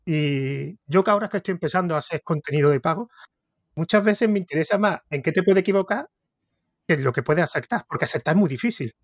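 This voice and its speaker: Un hombre de Córdoba con acento andaluz, anfitrión de un podcast, que entrevista en tono de charla y divaga con muchas dudas y reinicios. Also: male voice, a little high, fast talker, no echo, studio quality. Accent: Andalusian Spanish. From Córdoba, Spain. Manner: rambling, hesitant, restarts thoughts. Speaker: podcast host interviewer. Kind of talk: casual interview conversation.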